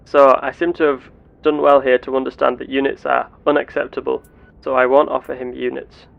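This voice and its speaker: A man narrating his gameplay in character as an earnest, curious starship captain, with formal, deliberate wording. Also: medium pitch, flat delivery, gravelly, highs cut off. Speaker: player of a roleplay game series.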